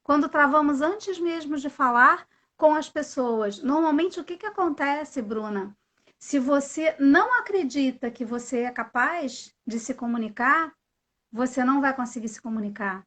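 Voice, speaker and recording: High-pitched woman teaching on a live call. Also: normal speed, a bit bright. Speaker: English teacher hosting a live stream. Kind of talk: casual conversational teaching.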